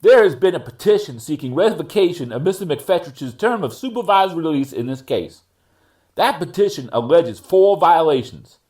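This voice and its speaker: A man reading as formal judge, lively higher-pitched voice. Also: natural voice. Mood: formal, deliberate. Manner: formal, deliberate, measured legal phrasing. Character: male judge ruling at a probation revocation hearing. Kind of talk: courtroom readers theater reading.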